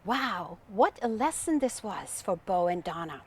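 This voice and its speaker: A woman reading a story aloud to children in an expressive, deliberate, theatrical way. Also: medium pitch, breathy edge.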